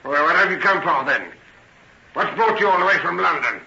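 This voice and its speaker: An actor in an old-time radio drama playing a frail, proud, embittered old farmer, halting and indignant, with a creaky voice. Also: male voice, a little high, lively intonation, bright, forceful tone, exaggerated voice. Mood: suspicious. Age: older. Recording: dull, echoey old radio recording, faint noise.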